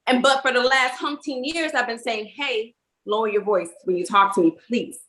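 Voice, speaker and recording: A woman's voice: fairly high pitch, moderate pace, fairly bright tone. Firm woman in a roomy space. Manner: firm, insistent. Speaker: podcast panelist. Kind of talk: casual panel debate. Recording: roomy, echoing sound.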